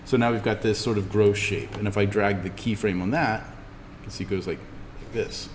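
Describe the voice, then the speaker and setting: Low-voiced man teaching in a reverberant room. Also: steady tone, fairly quick. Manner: explains step by step while demonstrating, informal. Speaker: instructor. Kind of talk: in-class software tutorial. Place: classroom. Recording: reverberant room with background noise.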